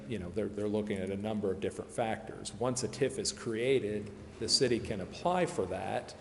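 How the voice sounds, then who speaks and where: Man, low voice, explanatory, hedging and repetitive, echoey public meeting hall, some background noise. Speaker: consultant presenting to a city council. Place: large indoor council chamber.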